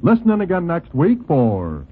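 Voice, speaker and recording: A man's voice: fairly high pitch, wildly swooping pitch, normal speed. A man announcing a scripted program sign-off in 1940s radio style. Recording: tinny 1940s radio recording, music behind.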